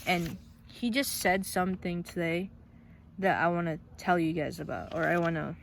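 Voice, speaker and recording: A voice, a Tagalog speaker, talks to the camera in an earnest, casual way, stumbling and restarting phrases as thoughts come. The voice sits at an ordinary middle pitch, and faint vehicle noise hums in the background. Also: moderate pace, gravelly. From Philippines. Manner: earnest, frequent restarts and repeated words. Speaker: vlogger. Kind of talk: casual heartfelt vlog talk.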